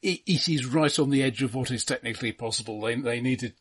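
A man co-hosting a casual film discussion, speaking in a halting, measured and dryly understated way. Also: mid-range voice, breathy edge. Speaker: film podcast co-host.

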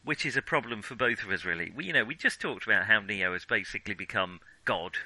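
A man co-hosting a casual film discussion, talking in a halting, animated way and often starting sentences over. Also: fairly low pitch, no echo, rough recording. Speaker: podcast co-host.

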